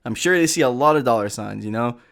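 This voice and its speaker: A man's voice: medium pitch, lively intonation, fast talker, creaky, fairly bright tone. A man delivering comedic scripted commentary with casual asides, in a sarcastic, exasperated and sometimes profane way. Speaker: video essayist.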